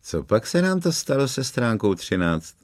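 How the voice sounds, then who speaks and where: A man voicing a sadistic middle-aged police sergeant in an audiobook, mocking and slow, then barking angrily. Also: mid-range voice, lively intonation, dry recording, studio quality, pitched above the performer's own voice, natural voice. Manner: mocking, slow and taunting, bursting into shouts. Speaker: character in audiobook. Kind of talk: audiobook character voice.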